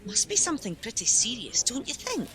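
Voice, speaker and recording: An actress playing a brisk, prim and inquisitive female helpline volunteer in a radio play, with faint noise behind her. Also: a little high, wildly swooping pitch, a bit bright. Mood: curious, gossipy. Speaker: actor.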